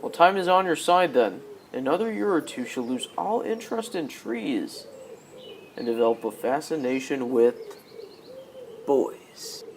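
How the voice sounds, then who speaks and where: A man's voice: medium pitch, sing-song, unhurried. An easygoing husband with wry, teasing delivery and a mid-range voice, acted by a young man. The student radio drama has faint noise and birdsong behind. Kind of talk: high school radio drama acting.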